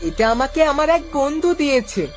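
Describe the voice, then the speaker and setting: A woman's voice: sits high, animated, brisk, faint music behind. A woman voicing an innocent, curious little boy in a children's cartoon, eager and wide-eyed. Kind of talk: children's animated cartoon dialogue.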